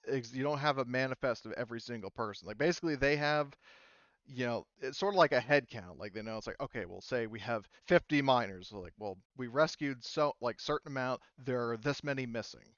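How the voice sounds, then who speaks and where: Clear-voiced man running a tabletop game. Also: medium pitch, a little fast, a bit bright, no echo, dull audio. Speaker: game master. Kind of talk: casual tabletop game narration.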